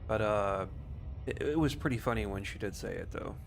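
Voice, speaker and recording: A man's voice: fairly low pitch, expressive, normal speed, gravelly. A man giving casual, rambling live commentary as he streams a game, explaining things loosely. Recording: noisy home streaming setup.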